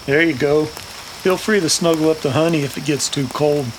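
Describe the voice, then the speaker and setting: An actor playing a gruff, sarcastic bounty hunter with an in an old-time radio drama, dry and mocking. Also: male voice, a little high, normal speed, slight background noise, lightly acted, rough recording.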